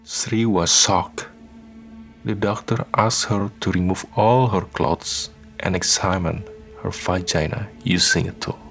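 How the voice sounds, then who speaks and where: Slow, very deep-voiced male narrator. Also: fairly even intonation, vocal fry, a bit bright, faint music behind. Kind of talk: scripted radio drama narration.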